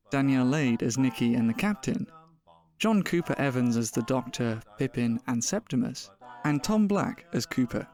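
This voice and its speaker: A man's voice: medium pitch, a little mellow. A man reading scripted end credits as the announcer of a drama podcast. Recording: faint music behind.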